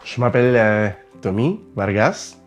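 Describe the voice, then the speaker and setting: A man's voice: medium pitch, lively intonation, a little slow, soft background music. A middle-aged man from Colombia with a Colombian Spanish accent, introducing himself in an interview. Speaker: documentary interviewee, civil engineer.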